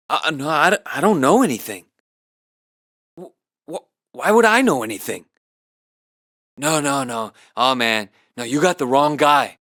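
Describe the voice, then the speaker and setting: A man's voice: medium pitch, expressive, measured pace, pauses often, pushed and bright, no room sound, studio quality. An actor playing a male video game character with brash, slangy attitude, delivering short, exaggerated lines. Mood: nervous, evasive.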